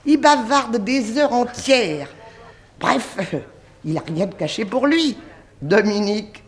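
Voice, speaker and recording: High-voiced old French housekeeper, chatty and sentimental. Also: female voice, expressive, slow pace. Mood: confiding, fond. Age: older. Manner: folksy, garrulous working-class servant speech. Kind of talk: 1960s French radio serial acting.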